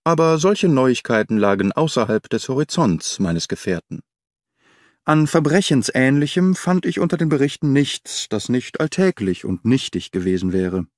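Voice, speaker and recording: Expressive male audiobook narrator. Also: mid-range voice, normal speed, no echo, studio quality. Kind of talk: scripted literary narration.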